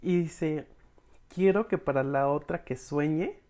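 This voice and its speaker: A speaker with a Mexican accent giving an informal, expressive recap to an audience of friends, slangy and casually acting out bits of dialogue. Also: medium pitch, slow. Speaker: podcast reviewer recapping episodes for followers.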